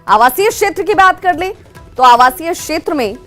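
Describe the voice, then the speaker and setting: A woman's voice: extremely high pitch, lively intonation, normal speed, sharp tone, faint music behind. A woman anchor presenting TV news in an urgent narrating style.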